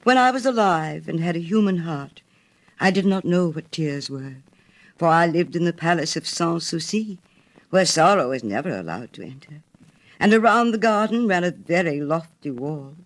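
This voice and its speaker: A woman voicing a sorrowful, noble prince's statue in a storybook, low and musical, with a stately, measured manner. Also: expressive. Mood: wistful, sorrowful. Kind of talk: storybook character reading.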